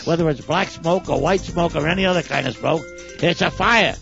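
A man's voice: on the high side, normal speed, gravelly, a bit bright, soft background music. Man, Brooklyn accent, talk radio commentator, wry, stumbling repeats, dull lo-fi sound. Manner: folksy, wry, self-mocking, stumbles and repeats words.

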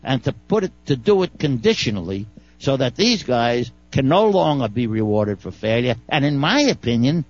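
A man's voice: mid-range voice, very animated, moderate pace, dull audio, soft background music. A man with a Brooklyn accent giving folksy, wry legal commentary on a talk radio show, often stumbling and repeating words.

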